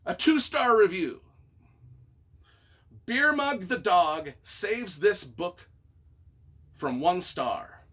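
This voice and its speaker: A man reading reviews aloud and reacting casually in an expressive, wry, self-deprecating way. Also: high-pitched. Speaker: author and YouTuber.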